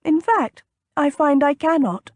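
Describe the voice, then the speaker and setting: A woman's voice: high voice, a little slow, no echo, voice pushed up. A woman voicing a spirited, stubborn young noblewoman in an audiobook, with emphatic, formal period phrasing. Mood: teasing. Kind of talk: audiobook character dialogue.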